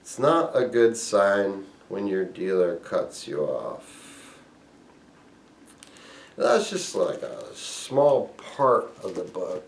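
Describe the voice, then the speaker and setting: Guy with a lowish voice reading a book out loud, deliberate phrasing, room echoes a lot. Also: big pitch swings, gravelly, recorded from a distance. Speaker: book reader and reviewer. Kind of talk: reading a memoir aloud.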